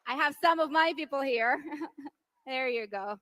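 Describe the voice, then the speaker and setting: A woman in her thirties from Venezuela, giving an impassioned political rally speech that rises to rousing shouts. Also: high-pitched, a little slow. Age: 30s. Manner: impassioned, rising to rousing shouts on key lines. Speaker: politician's wife speaking at a rally.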